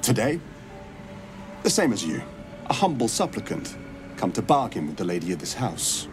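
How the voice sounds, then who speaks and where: A man playing a courteous, grave monster hunter in a video game, speaking in measured, formal, storytelling phrases. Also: fairly low pitch, big pitch swings, music in the background. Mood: wry, measured. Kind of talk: dramatic video game acting.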